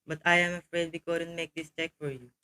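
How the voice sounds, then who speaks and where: A woman playing a composed, official chief telephone operator in an amateur radio play, measured and businesslike. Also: lowish voice, level tone, bright, forceful tone. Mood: firm, formal.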